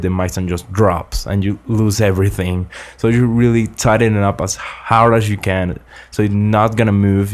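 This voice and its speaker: A man's voice: lowish voice, darkish tone. Young man explaining recording tips. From Ecuador. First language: Spanish. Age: young adult. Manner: earnest, explanatory, slightly halting English. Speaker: student making a tutorial. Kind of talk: casual spoken tutorial. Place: small home studio room, indoors. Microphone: large-diaphragm condenser with pop filter, close.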